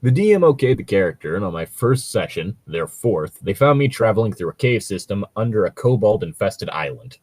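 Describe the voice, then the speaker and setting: Husky guy just chatting, kinda low voice, super animated and jokey, swears a bit. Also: fairly quick, few pauses, no room sound. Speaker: co-host chatting about tabletop roleplaying. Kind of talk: casual chat.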